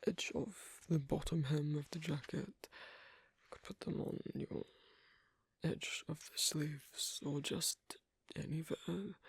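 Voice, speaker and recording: A performer voicing an awkward, asocial werewolf in an ASMR roleplay, speaking in a husky half-whisper with halting, terse phrases, as a non-native English speaker. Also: mid-range voice, dry recording, heavily acted. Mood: shyly fond. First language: not English. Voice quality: breathy, husky. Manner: halting, terse, quiet instructions with clipped grammar. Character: asocial, awkward werewolf teaching sewing on a date.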